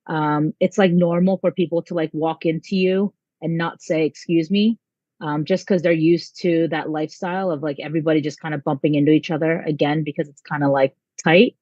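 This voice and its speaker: A woman's voice: low-pitched, steady tone, a little fast, mellow, no echo. Chatty young woman on a dull, dry line. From United States. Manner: chatty, lots of um and like. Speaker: volleyball head coach, guest. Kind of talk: casual interview answers.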